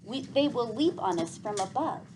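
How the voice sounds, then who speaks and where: A woman's voice: a little high, expressive, moderate pace, noisy background, pitched above the performer's own voice, lightly acted. A woman voicing a grave, commanding female leader in a storybook, speaking in formal, old-fashioned, decisive phrases. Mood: urgent warning.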